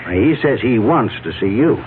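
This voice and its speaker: An actor playing a formal, sceptical attorney in a radio mystery, measured and proper in his speech. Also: medium pitch, expressive, close to an everyday voice. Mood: formal, businesslike. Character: formal, sceptical male attorney with strong reservations. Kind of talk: radio mystery drama acting. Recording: tinny, hissy old radio broadcast.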